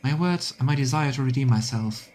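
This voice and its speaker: A man's voice: medium pitch, brisk, a little mellow, close to an everyday voice. A man voicing an earnest young woman, a remorseful former deserter in a game, pleading with resolve. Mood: determined.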